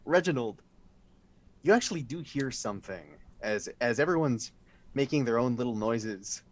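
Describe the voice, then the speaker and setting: A man narrating a tabletop role-playing game as dungeon master, describing scenes in a slow, descriptive way. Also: mid-range voice, animated.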